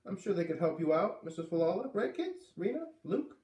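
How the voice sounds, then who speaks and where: An agreeable father from a storybook, as a man reads him aloud, coaxes with false cheer. His pitch rises above an everyday voice, and he sounds distant in a roomy space. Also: big pitch swings, fairly quick, darkish tone. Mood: coaxing. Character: agreeable father, eagerly volunteering his children.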